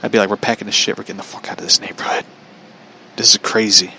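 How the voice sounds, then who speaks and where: Bright-voiced man rambling through casual stories. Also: on the low side, flat delivery, quick pace, a little hiss or hum, rough recording. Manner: foul-mouthed, incredulous. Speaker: podcast host telling stories.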